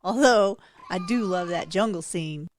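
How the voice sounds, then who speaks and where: A woman's voice: on the low side, a little slow, slight background noise, lightly acted. A woman playing a kindly, apologetic older woman who fusses over a patient in an audio drama. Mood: kindly, fussing. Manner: caring, fussing.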